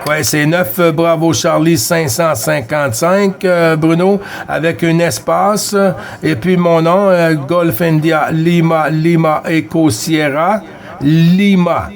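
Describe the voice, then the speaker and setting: Quebec french guy chatting on cb, kinda high voice, friendly, says stuff twice. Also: fairly even intonation, slow, gravelly. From Quebec, Canada. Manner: friendly, repeats himself, tags phrases with "hein". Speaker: CB radio operator. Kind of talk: casual two-way radio chat.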